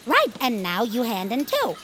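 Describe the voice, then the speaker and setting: Villain's sidekick: brash, scheming, piercingly bright, swooping delivery, comic radio play. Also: female voice, on the high side, wildly swooping pitch, normal speed, slight background noise. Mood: cheeky, sly. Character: brash, wily henchwoman to a villain boss. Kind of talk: comic audio drama acting.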